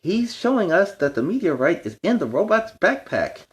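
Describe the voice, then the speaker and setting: An eager cartoon puppy hero, acted out by a man reading a storybook. The voice is raised well up and swings brightly in pitch. It exclaims each line earnestly, plucky and ready for action. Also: very animated, normal speed, a little hiss or hum. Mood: eager, urgent. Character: eager heroic cartoon puppies with superpowers. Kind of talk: children's storybook character reading.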